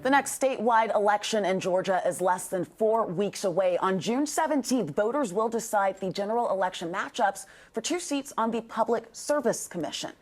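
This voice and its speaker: Woman, news anchor, mid pitch, crisp scripted delivery. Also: lively intonation, moderate pace, hardly any pauses. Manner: crisp, clear news reading. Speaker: news show host.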